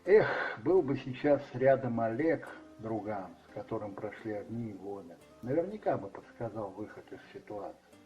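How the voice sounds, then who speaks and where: A man's voice: lowish voice, darkish tone. A man narrating a radio play in a brooding, theatrical way with emphatic stresses. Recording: dull muffled sound with music behind. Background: music.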